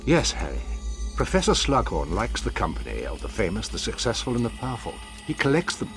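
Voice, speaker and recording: Aged wizard mentor, low and solemn, expressive swings in pitch, music underneath. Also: male voice. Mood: grave, measured. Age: older. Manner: measured, deliberate, formal. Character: wise, grave old male wizard headmaster. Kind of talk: scripted cinematic game acting.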